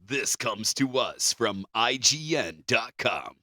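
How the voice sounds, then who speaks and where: A man's voice: mid-range voice, expressive, slow, bright, forceful tone, no echo, clean studio sound. A man co-hosting a podcast, chatting casually and reading out news items. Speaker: podcast co-host.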